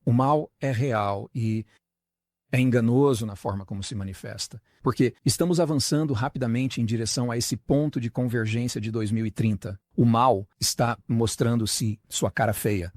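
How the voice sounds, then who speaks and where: A man's voice: mid-range voice, moderate pace, darkish tone, no echo, clean studio sound. A man speaking in an earnest, explanatory way as the dubbed voice of a talk, posing rhetorical questions and answering them himself. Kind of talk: Portuguese voice-over of an interview talk.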